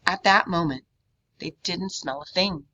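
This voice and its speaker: A woman reading a children's book aloud in an expressive manner. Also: fairly low pitch, a little slow. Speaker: read-aloud reader.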